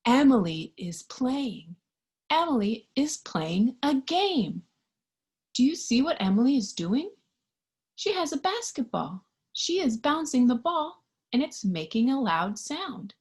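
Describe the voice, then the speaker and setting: A woman reading a picture book aloud to young children in an expressive, child-directed storytime style. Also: sits high, slow. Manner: expressive, child-directed, with questions and sound effects.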